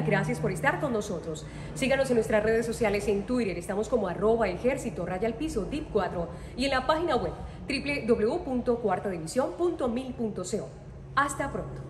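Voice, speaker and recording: A woman's voice: a little high, expressive, brisk, reverberant, in a large hall, noisy background. Young woman reading army news. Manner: formal, crisp announcing. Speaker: news presenter. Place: studio set.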